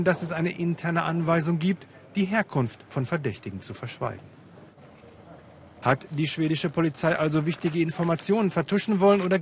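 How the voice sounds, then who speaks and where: A man's voice: fairly high pitch, a little hiss or hum. A man reading a scripted foreign news report as a television reporter. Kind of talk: scripted narration with translated voice-over.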